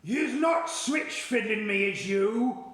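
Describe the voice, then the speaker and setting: A man's voice: high voice, lively intonation, slow pace, a bit bright, roomy sound, pitched above the performer's own voice, heavily acted. A man voicing a greedy, brutish male giant who is suspicious and menacing, drawing out his threats.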